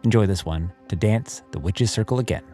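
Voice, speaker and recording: Low-pitched man hosting a story podcast. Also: fairly quick, mellow, soft background music. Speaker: host and producer of a fiction podcast. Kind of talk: scripted podcast introduction.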